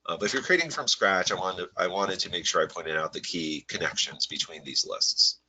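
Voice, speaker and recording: A man with a head cold and a slightly nasal voice, presenting a live software webinar in a relaxed, rambling way full of fillers. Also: deep voice, a little fast, slightly rough, low-quality recording. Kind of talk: live webinar walkthrough with screen share.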